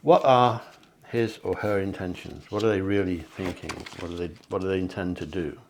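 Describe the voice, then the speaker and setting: A man, a psychic hosting a card reading, talking in a chatty, encouraging way that often corrects itself, his voice a little hoarse. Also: deep voice, fairly even intonation, moderate pace, darkish tone, a little hiss or hum. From England. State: slightly hoarse, clearing throat. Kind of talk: tarot-style card reading to camera. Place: home near the sea.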